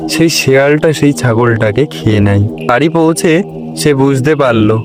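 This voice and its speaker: Male cartoon storyteller over loud music. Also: medium pitch, normal speed. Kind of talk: scripted cartoon story narration.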